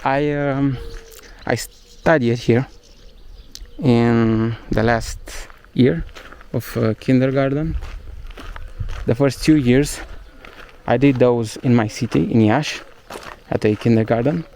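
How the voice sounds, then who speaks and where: A young man speaking English with a Romanian accent, his first language being Romanian. His voice is mellow and relaxed, and he rambles with frequent fillers and self-corrections. Faint outdoor noise and birdsong sit behind him. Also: medium pitch, slow pace, long pauses. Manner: chatty, hesitant, searching for English words. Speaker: travel vlogger. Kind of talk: casual walking vlog narration. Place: outdoors in a village.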